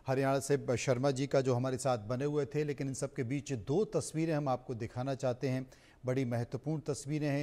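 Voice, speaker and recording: A man's voice: medium pitch, mellow. A man anchoring live television news in an emphatic manner, repeating phrases for effect.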